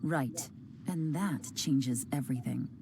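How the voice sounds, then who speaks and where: A woman voicing a poised, shrewd investigator in a video game, speaking in a composed, knowing, measured way. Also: very deep voice, background noise, full character voice. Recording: music playing behind.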